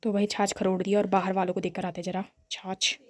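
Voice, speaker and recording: A woman's voice: mid-range voice, flat delivery, fairly quick. A young woman narrating a casual village vlog, explaining things to viewers and repeating herself. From Uttarakhand, India. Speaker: village vlogger.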